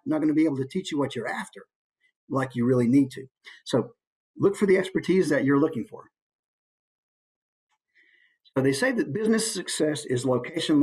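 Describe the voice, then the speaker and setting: Man, voice-acting coach, informal presentation, slightly rough-edged voice. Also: a little high, darkish tone. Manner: demonstrates points with brief put-on voices. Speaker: voice actor giving a coaching presentation.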